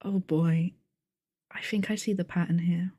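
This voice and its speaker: Woman acting mellow, formal monster game master. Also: lowish voice, fairly even intonation, dry recording, clean studio sound. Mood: composed, wry. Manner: measured, articulate, formal. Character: calm, formal, bookish young female monster game master. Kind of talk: acted audio roleplay, narrating a tabletop game.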